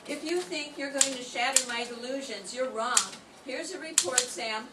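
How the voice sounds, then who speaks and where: An adoring, devoted secretary from a vintage detective radio play, performed by an older woman. The voice is high and gushing, with theatrical sweetness. It is recorded from afar in an echoey space. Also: moderate pace, lightly acted. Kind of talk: 1940s radio detective acting. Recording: roomy distant mic, clear background noise.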